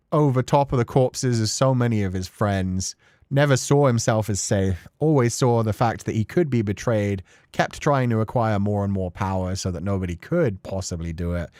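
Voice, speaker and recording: A man's voice: medium pitch, a little mellow, no echo, studio quality. A man giving casual, emotional commentary on a story game, rambling informally through his analysis as a streamer. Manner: informal, swearing, rambling analysis with acted-out paraphrases.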